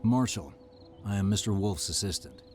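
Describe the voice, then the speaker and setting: A man acting a brusque, low-voiced fifty-ish gatekeeper. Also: expressive, normal speed, a little mellow, slight background noise, lightly acted. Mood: skeptical, curt. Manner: curt, dismissive, formal. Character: brusque, protective fifty-ish male assistant guarding his employer. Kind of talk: screenplay table-read acting.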